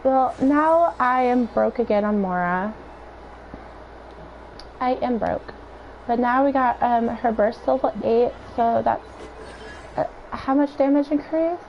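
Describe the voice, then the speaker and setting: A woman streamer with a hoarse, raspy voice, rambling through casual commentary while she plays. Her voice sits a little high, and the stream audio is dull and lo-fi with a faint hiss underneath. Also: slow pace, pauses often. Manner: rambling, chatty, thinking aloud. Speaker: game streamer.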